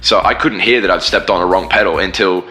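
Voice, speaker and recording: A man's voice: deep voice, a little fast, bright, forceful tone, faint music behind. A man, a band singer and guitarist, rambling casually in an interview. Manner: rambling, many fillers and restarts.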